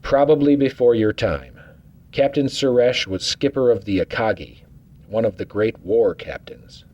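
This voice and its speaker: A man playing a steady acting starship captain who gives calm orders with dry humor in a fan audio drama. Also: lowish voice, unhurried, muffled recording.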